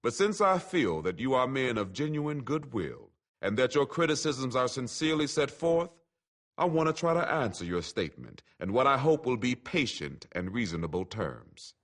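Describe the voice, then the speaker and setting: A man reading a written letter aloud in an oratorical style, with deliberate, formal phrasing. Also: medium pitch, big pitch swings, a bit bright. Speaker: reader.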